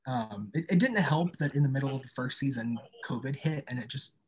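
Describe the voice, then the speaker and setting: A man's voice: medium pitch, expressive, a little fast, slightly rough. A man speaking as an interview guest in a casual, informal and thoughtful way about his own work. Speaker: podcast creator and guest being interviewed.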